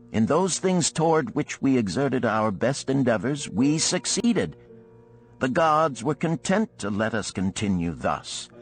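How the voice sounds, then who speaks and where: A man voicing an earnest, animated musician of ancient Babylon in a storybook parable, with archaic, oratorical phrasing. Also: mid-range voice, voice pushed up.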